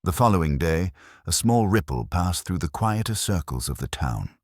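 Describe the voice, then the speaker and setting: A man reading a mystery story as a scripted narrator, in a measured, formal way. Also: low-pitched, big pitch swings, a little mellow, no echo, clean studio sound.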